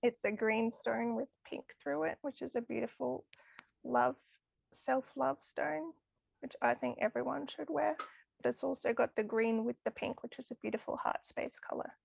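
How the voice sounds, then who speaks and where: Brief: woman guest, chatty and enthusiastic, voice going hoarse, gravelly fry, dry close sound. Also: a little high, moderate pace, no room sound, poor audio. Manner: enthusiastic, chatty with frequent laughs. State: losing her voice. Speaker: crystal healing guest. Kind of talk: casual podcast interview.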